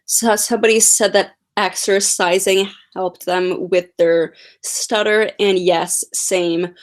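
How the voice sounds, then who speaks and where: A nineteen-year-old woman who stutters, chatting slowly and casually on a livestream as she answers viewer questions. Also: mid-range voice, fairly even intonation. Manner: stutters with repeated sounds and blocks. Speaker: livestream host.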